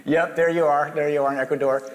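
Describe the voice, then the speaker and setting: A man with a somewhat high voice interviews a guest with eager, prompting questions. His voice rings out in a large room, with a long reverb behind each phrase. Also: flat delivery, fast, slight background noise. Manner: enthusiastic, prompting, laughs along. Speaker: interviewer, church host. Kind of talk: casual interview. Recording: echoey hall with long reverb.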